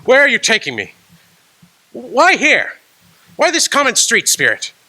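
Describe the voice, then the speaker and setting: A frightened old miser stammers and begs a silent spirit, voiced by a man. His voice runs high and bright, leaping up and down as he pleads. A stage radio play read with halting urgency. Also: huge pitch swings, full character voice. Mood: fearful pleading. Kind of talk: amateur radio drama acting.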